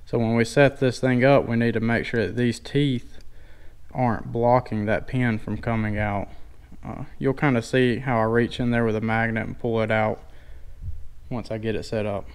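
Male DIY mechanic for a garage how-to: plainspoken and folksy, very little pitch movement, casual step-by-step delivery. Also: fairly even intonation, normal speed. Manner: plainspoken, informal, folksy wording. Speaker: DIY mechanic demonstrating a tool. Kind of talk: casual hands-on tutorial narration. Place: indoor garage workshop.

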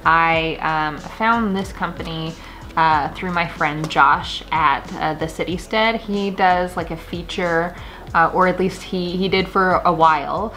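A woman's voice: lowish voice, unhurried, pushed and bright, a little hiss or hum. A woman in her thirties chatting casually and enthusiastically to camera as a gardening vlogger in her kitchen. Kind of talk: casual chat to camera, showing seed packets. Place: home kitchen, indoors.

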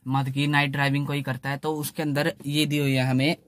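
A man's voice: on the high side, flat delivery, fairly bright tone. Young man with a lilt, chatting loosely. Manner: loose, repetitive, fills every pause with "theek hai". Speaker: car channel host. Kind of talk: casual how-to talk in a parked car. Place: inside a parked small car.

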